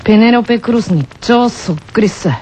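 A woman voicing a gentle, teasing teenage tennis boy in an anime radio skit, speaking with playful deliberation. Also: on the high side, fairly quick, a little hiss or hum, lightly acted. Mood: playful mimicry.

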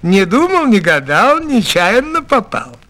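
A man's voice: high voice, big pitch swings, measured pace, sharp tone, full character voice. A man voicing a kindly, cheerful old village hunter in a storybook tale, with a folksy, playful, plain-spoken manner. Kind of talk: storybook fairy tale reading.

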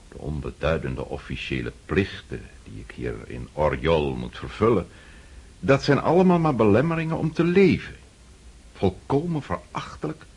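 A man's voice: a bass voice, gravelly, slightly rough, exaggerated voice. An actor playing a pompous middle-aged count and freemason who lectures his friend in a lofty, condescending way in a radio drama. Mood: disdainful.

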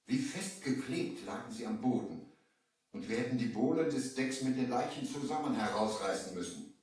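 A very echoey, slightly distant 1970s radio play recording of a man acting a shipwrecked master telling his tale. He has a medium-pitched voice and speaks with solemn, theatrical diction, as if recounting a dreadful sea adventure to listeners of an old story record. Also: animated, moderate pace, a little mellow, natural voice. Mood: grave. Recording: roomy, echoing 1970 vinyl radio play, distant.

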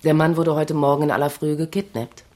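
An actress playing a sober police investigator in a radio crime drama, with a husky voice and dry, factual delivery. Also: female voice, low voice, fairly even intonation, a little fast, darkish tone, lightly acted. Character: matter-of-fact, sober female police investigator.

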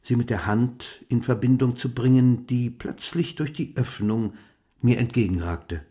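Wistful elderly gentleman diarist, acted by a man, measured. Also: medium pitch, lightly acted. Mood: contemplative. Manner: measured, contemplative, formal, precise diction. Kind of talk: literary radio play monologue, reading diary entries.

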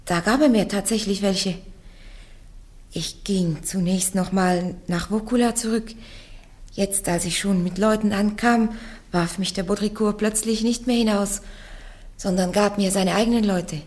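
A woman's voice: medium pitch, steady tone, a little slow, darkish tone, lightly acted. An actress in a German radio play playing a young peasant woman on trial, fearless and defiant, plain and curt in her answers. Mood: matter-of-fact recounting. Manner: plain, matter-of-fact, curt when questioned.

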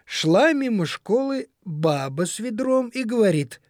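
A woman narrating a children's story in an expressive, dramatic storytelling manner. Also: mid-range voice, a little slow, no room sound, clean studio sound. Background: music.

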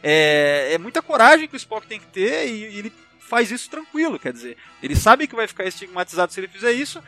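A Brazilian man with a bright, high voice and very lively intonation hosts a relaxed group book chat. He is informal and enthusiastic, with music playing behind him. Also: quick pace. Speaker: podcast host. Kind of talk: casual group book discussion. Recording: background music under voice.

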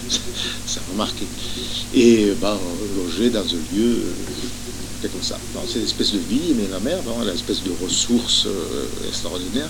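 An older man from Spain giving a hesitant, reminiscing talk full of fillers and restarts, telling stories from his childhood. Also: lowish voice. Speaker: guest speaker, former academic and author. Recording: noticeable background noise and hum.

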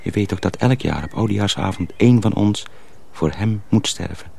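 A man playing a sly young miller's apprentice who poses as a simpleton, speaking confidingly and deliberately in a radio drama. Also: lowish voice, gravelly, warm tone, husky, full character voice. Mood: earnest warning. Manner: deliberate, hesitant, confiding, repeats himself. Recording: faint background noise.

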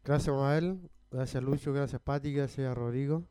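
A Chilean man speaking informally on amateur radio, haltingly reading aloud and repeating himself as he goes. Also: mid-range voice, dark tone, clean tone. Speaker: radio contributor reading a news report. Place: community radio programme at a psychiatric hospital.

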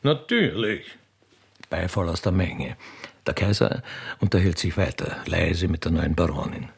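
A man's voice: sits low, normal speed, creaky, darkish tone, husky. A man with an Austrian accent reading the stage directions of a radio play as a theatrical narrator.

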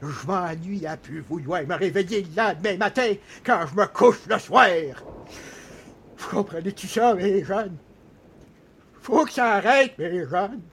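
A man's voice: sits high, a bit bright, slightly rough, heavily acted. An actor playing a bored, exasperated old man with a Quebec French accent, complaining plaintively in a radio comedy monologue. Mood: plaintive, pleading. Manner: plaintive, dramatic, folksy, drawn-out complaining.

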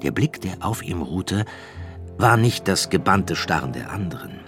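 Deep-voiced male narrator over music. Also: animated. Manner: measured, atmospheric storytelling. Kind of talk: literary scripted narration for radio drama.

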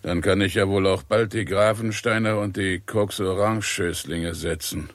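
An actor playing a placid, slow-witted village gardener in a 1960s German radio drama, unhurried and unimpressed. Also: male voice, low-pitched, fairly even intonation, no echo, close to an everyday voice.

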